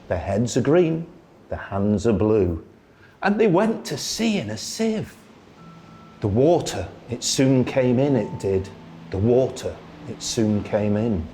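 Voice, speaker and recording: A man reciting a children's poem as a storyteller, in a theatrical, singsong way with drawn-out phrasing. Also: mid-range voice, big pitch swings, warm tone, a little hiss or hum. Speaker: storyteller, radio presenter. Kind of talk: expressive children's poetry recitation.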